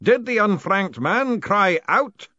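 A man puts on a storybook master of a secret initiation. The voice booms out slow and solemn, pitched up and grandly swelling, with pompous command. The sound is slightly dull. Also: sing-song, sharp tone, dry recording, heavily acted. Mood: stern, demanding. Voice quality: booming. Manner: slow, solemn ritual intoning.

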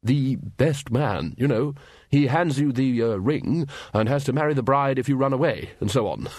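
A man voicing a hearty, blustering, absent-minded old wizard in an audiobook, halting often with ums and uhs. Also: medium pitch, lively intonation, darkish tone, no echo, studio quality, lightly acted. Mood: flustered.

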